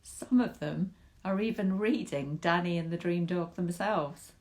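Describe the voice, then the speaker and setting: Woman reading a kids picture book out loud, bit of creak in the voice, really acts up the words. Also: lowish voice. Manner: animated, dramatic emphasis with drawn-out words and hushed asides. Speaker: author reading own picture book to children. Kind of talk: expressive storybook reading with asides to young listeners.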